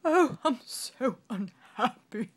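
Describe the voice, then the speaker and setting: A woman voicing a weepy, self-pitying male king from a children's storybook, in a drawn-out sobbing wail. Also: on the high side, animated, pitched above the performer's own voice.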